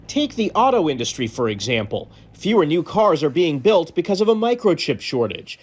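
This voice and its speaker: High, lively male news correspondent. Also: normal speed. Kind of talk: scripted news report voice-over.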